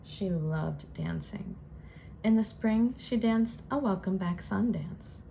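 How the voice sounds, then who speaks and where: A woman reading a children's storybook aloud in an expressive storytelling style, as host. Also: medium pitch, slow, vocal fry, slight background noise, rough recording. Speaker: children's book reader and channel host.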